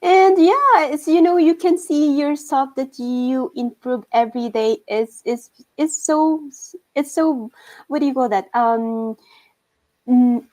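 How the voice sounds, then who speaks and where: Higher-voiced woman host, searching for words. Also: slow pace, darkish tone, low-quality recording. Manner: non-native English, searching for words. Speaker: interview host. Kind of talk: casual interview talk.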